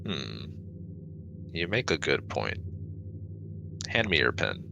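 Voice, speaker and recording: A man acting as a reserved alien scientist with an extremely deep, gravelly voice. He speaks formally and robotically, in slow, measured phrases, like a clinician in an ASMR sci-fi audio drama. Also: sing-song, noisy background, full character voice. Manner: formal, robotic, avoids contractions. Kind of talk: scripted ASMR audio roleplay.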